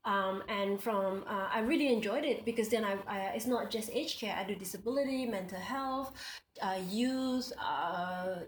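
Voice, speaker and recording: A woman's voice: mid-range voice, moderate pace. Young Singaporean-accented woman, casual guest, chatty; echoey room with slight noise. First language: Indonesian. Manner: chatty, frequent restarts and laughter. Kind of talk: casual conversational interview.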